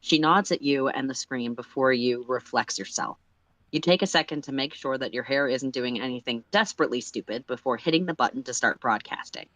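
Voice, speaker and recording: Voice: mid-range voice, fairly quick, fairly bright tone, dry recording, rough recording. Close, dry-sounding story reader. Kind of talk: casual story read-aloud.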